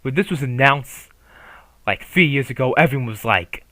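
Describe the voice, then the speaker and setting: A man's voice: medium pitch, lively intonation, normal speed, sharp tone, no echo. A man giving casual, opinionated commentary on a manga, swearing freely and rambling with restarts. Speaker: YouTuber reviewing a manga.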